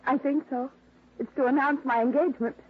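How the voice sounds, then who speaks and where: A woman's voice: high voice, fairly even intonation, brisk, breathy edge. A woman playing a friendly, playful and eager young hostess in a 1950s radio drama. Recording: very noisy, narrow-band old radio recording.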